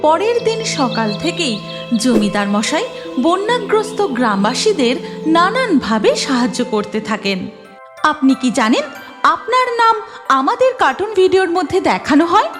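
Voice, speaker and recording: A woman's voice: sits high, big pitch swings, a little slow, over music. A woman narrating a scripted cartoon story as its storyteller.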